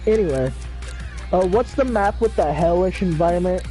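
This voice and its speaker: A man with a high, mellow voice chats loosely while gaming, his words trailing into fillers and casual swearing. His voice is going rough and hoarse. Faint music plays behind him. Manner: rambling, full of fillers and swearing. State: losing his voice. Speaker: gamer chatting on a livestream. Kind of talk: casual chat.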